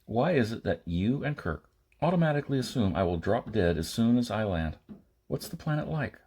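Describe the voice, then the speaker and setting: A man's voice: mid-range voice, big pitch swings, normal speed, darkish tone, slightly rough. A man voicing a stubborn, questioning space traveler in an audiobook, with a blunt, insistent manner. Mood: probing.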